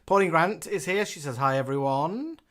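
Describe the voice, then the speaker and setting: A man's voice: on the high side, animated, normal speed, fairly bright tone. A man from the UK co-hosting a casual live stream, chatting and reading out viewers' comments with a laugh.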